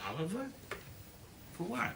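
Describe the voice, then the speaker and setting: An actor playing an aging, volatile salesman father, husky and blustering, domineering and quick to interrupt, in a stage drama style. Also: high voice, big pitch swings, noisy background, full character voice. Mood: scornful, irritable.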